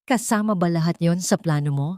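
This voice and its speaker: A wounded, accusing young man in a romantic audio drama, voiced by a woman narrator. The voice is low for a woman and closely recorded, full of betrayal and hurt. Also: brisk, dark tone, no echo, clean studio sound, lightly acted. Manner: pained, accusing.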